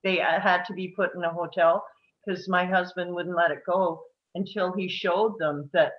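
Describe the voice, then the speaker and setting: A woman's voice: on the low side, fairly even intonation, moderate pace, highs cut off. A middle-aged woman talking casually and earnestly in a group discussion, with emphatic phrasing. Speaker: table read participant. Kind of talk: casual post-reading discussion.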